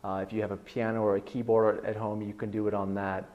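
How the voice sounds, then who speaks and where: A man with a bass-baritone voice teaching a guitar lesson in an online video, explaining in a relaxed, rambling way with many fillers. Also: quick pace, muffled recording.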